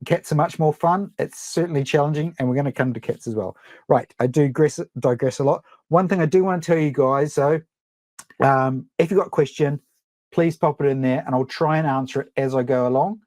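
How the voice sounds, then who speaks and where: A man's voice: on the high side, a little fast, a little mellow, dry recording. A middle-aged man with a New Zealand accent, presenting a live photography webinar in a chatty, rambling way, full of ums and restarts. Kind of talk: casual live webinar teaching.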